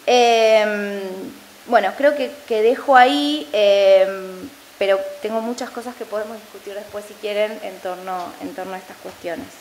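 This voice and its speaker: A woman's voice: mid-range voice, a little slow, a little mellow, a little hiss or hum, rough recording. A woman panelist giving an academic talk, thinking aloud with many fillers and tag questions.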